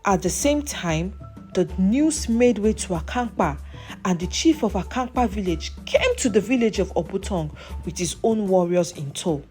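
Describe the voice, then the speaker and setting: Animated woman storyteller. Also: mid-range voice, normal speed. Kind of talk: scripted folktale narration. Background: music.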